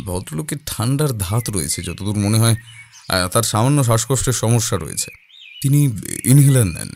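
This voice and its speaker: A man playing a calm, observant detective in an audio drama, speaking deliberately with thoughtful pauses. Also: fairly low pitch, vocal fry, slight background noise. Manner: deliberate, pausing, hesitating mid-thought.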